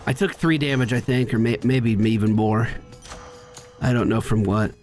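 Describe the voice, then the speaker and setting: A man's voice: mid-range voice, normal speed, darkish tone, soft background music. A man giving casual running commentary as he plays a video game, talking to himself with exasperated exclamations and swearing. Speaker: gaming video creator.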